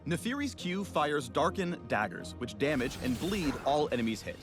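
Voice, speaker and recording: A man with a fairly high voice reads a scripted game spotlight. His pitch moves in lively swings through the playful promotional copy, over clear music. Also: moderate pace. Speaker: game character spotlight narrator.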